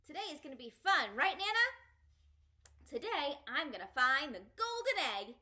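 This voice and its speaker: A woman voicing a brash, chatty, excitable little girl in an animated children's book read-aloud, with dramatic exclamations and emphatic repetition. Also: high voice, moderate pace, pitched above the performer's own voice, full character voice. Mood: eager, hollering.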